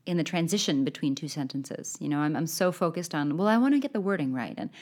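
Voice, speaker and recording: Canadian woman co-host, low, rapid, chatty. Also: lively intonation, darkish tone. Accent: Canadian English. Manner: chatty, quick, upbeat. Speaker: podcast co-host. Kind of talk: casual academic chat.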